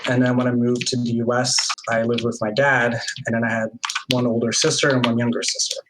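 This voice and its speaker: Man from Brazil, mid-pitch, laid-back, hesitant answers, noisy background on the stream. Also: brisk. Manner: relaxed, hesitant, many fillers. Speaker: interview guest. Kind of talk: casual conversational answers.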